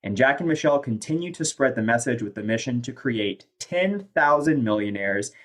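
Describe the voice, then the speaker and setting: A man's voice: mid-range voice, animated, normal speed. A man hosting a podcast who reads a guest introduction, then chats in an upbeat, effusive way. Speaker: podcast host. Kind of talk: scripted guest introduction, then casual chat.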